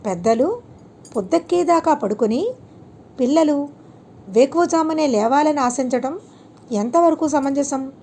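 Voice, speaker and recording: Storybook sage, played by a woman: serene holy teacher, gently admonishing, deliberate, higher-pitched soft tone, faint noise. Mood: solemn, advising. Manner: calm, deliberate, preaching with rhetorical questions.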